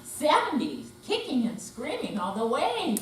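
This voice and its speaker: A woman's voice: fairly high pitch, huge pitch swings, measured pace. An older woman giving a dramatic stage reading as an amateur readers theater performer. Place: senior center hall, indoor live performance. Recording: very echoey room, distant, faint hum.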